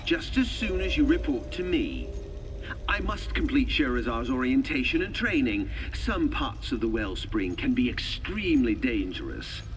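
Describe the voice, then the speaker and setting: A man's voice: medium pitch, sing-song, moderate pace, close to an everyday voice. An actor voicing a pompous, evasive male lecturer in a video game, speaking in a formal, instructing manner. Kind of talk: scripted video game dialogue. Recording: music behind the voice. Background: game music.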